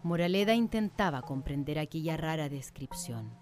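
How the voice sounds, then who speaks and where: A woman narrating a radio drama in a measured, literary storytelling manner. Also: low-pitched, animated, a little mellow, soft background music.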